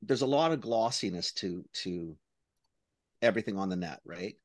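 A man's voice: fairly low pitch, expressive, moderate pace, dry recording, studio quality. A middle-aged man co-hosting a podcast, blunt and emphatic, swearing freely, talking over a video call on a headset. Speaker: podcast co-host. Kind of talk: casual chat on a video call.

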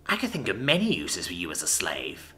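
Man voicing a haughty, snarling female dark elf. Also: medium pitch, big pitch swings, moderate pace, faint music behind. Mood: sadistic. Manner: sneering, snarling, contemptuous. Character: haughty, cruel female dark elf noble, sadistic leader.

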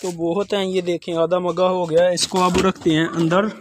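A man's voice: high voice, level tone, moderate pace, background noise. A young man, casually narrating chores in a village courtyard while filming himself on a phone held at arm's length. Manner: chatty, repeats filler phrases, addresses viewers as guys. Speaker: village life vlogger. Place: outdoor village courtyard with poultry.

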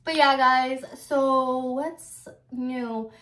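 Casting: chatty twenties woman, high voice, roomy echo, phone-recorded vlog. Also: fairly even intonation, extremely slow, a bit bright. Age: 20s. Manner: chatty, lots of "like" fillers. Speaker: YouTuber. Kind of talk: casual chat. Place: bedroom. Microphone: phone camera. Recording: echoey room.

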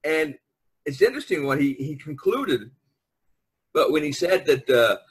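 Older man, husky, rambling chat. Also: on the high side, sing-song, measured pace, no echo. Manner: rambling, often says "you know". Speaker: artist hosting a group podcast. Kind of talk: casual group chat. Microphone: online video conference call.

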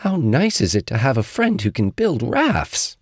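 An eager, fretful little tiger from a children's storybook, acted by a male reader. The voice exclaims and wheedles, lifting well above a grown man's register and swooping widely, with a husky grain. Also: medium pitch, huge pitch swings, normal speed, dry recording, clean studio sound, voice pushed up, exaggerated voice. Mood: admiring.

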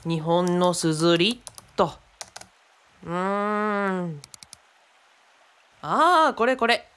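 A woman's voice: on the low side, extremely slow, fairly bright tone, smooth. A woman playing a friendly, knowledgeable adult companion in a radio drama, explaining casually and thinking aloud. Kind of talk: conversational radio drama acting.

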